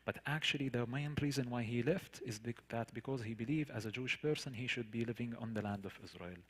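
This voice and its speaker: A man's voice: medium pitch, fast, fairly bright tone. A man with a Palestinian Arabic accent, a native Arabic speaker, tells a personal story to listeners as a guest speaker, in an earnest, emphatic manner with rhetorical questions and repetition. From Palestinian territories. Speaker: guest speaker, tour guide. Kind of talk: personal storytelling in a public talk.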